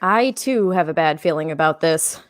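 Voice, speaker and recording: A woman's voice: sits low, expressive, moderate pace. A woman playing a sassy, mocking adventurer with a sardonic drawl in a comedic sci-fi table read.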